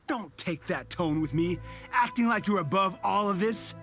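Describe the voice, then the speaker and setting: A bitter rebel soldier. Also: male voice, high voice, lively intonation, moderate pace, loud music behind the voice. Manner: intense, brooding, defiant. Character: bitter male rebel soldier, grim and stubborn. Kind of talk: video game voice acting.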